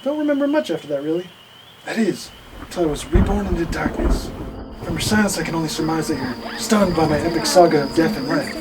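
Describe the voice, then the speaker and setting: A man's voice: on the high side, animated, a little fast, heavy background noise, close to an everyday voice. A man voicing a theatrical, self-dramatizing male ghost in a comedic puppet show, with grandiose, melodramatic delivery. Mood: grandiose, self-pitying. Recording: music under the voice.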